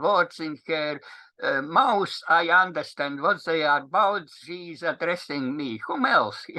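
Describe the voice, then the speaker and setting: A man with a Latvian accent, a native Latvian speaker, giving an unscripted linguistics talk in English, halting and searching for words with frequent restarts. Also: on the high side, pushed and bright, poor audio.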